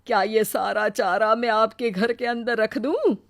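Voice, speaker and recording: A woman voicing a mysterious elderly male village trader in a moral story, with a slow, courteous manner. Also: fairly high pitch. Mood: polite. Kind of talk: Hindi moral storybook acting.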